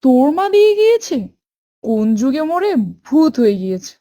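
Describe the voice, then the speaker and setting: Woman as a high-voiced scolding stepmother. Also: big pitch swings, normal speed. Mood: scornful. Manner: sharp-tongued scolding. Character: scheming, scolding cruel stepmother.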